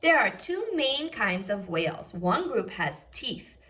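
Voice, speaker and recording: A woman's voice: medium pitch, big pitch swings, takes it slowly, fairly bright tone. A woman teacher reading aloud to young children, enunciating carefully and adding short explanatory asides. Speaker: first grade teacher.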